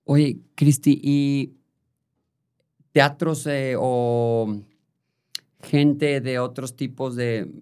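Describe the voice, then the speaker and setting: A man hosts a conversational podcast, listening closely and chiming in with quick agreements. His questions come out loosely, with pauses and restarts. His pitch is mid-range. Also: fairly even intonation, a little mellow, clean tone. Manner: attentive, lots of short agreeing replies. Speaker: podcast host. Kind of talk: casual interview.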